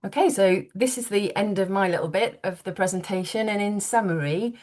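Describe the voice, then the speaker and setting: A woman, an early years advisory teacher, giving an earnest webinar presentation over a video call, with steady ums. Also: mid-range voice, brisk.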